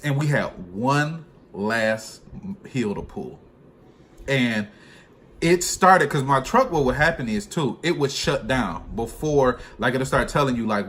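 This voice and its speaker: A man's voice: medium pitch, a bit bright, a little hiss or hum. A man in a casual, slangy storytelling chat, speaking informally with some swearing as a trucker vlogger.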